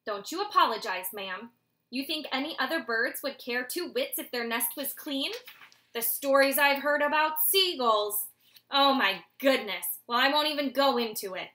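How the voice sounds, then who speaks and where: A woman's voice: sits high, animated, normal speed, pushed and bright, echoey room. A woman reading aloud, voicing a prim female blind snake nest-maid who gossips in a scandalized way. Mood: gossipy.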